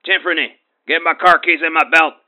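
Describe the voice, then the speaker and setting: A man's voice: on the high side, steady tone, a little fast, sharp tone. A man playing a ranting bigoted caller. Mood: threatening. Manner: ranting, threatening, pompous. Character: bigoted blustering middle-aged male radio caller.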